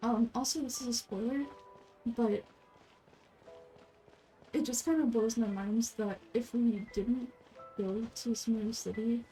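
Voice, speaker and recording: A woman giving casual game commentary in a halting, musing way. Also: fairly high pitch, dark tone, soft background music, low-quality recording. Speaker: game streamer reading all characters aloud.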